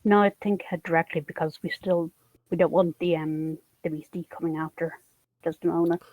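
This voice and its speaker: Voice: medium pitch, fairly even intonation, brisk, no echo, phone-quality sound. A player in a tabletop game, talking casually and politely with some hesitation.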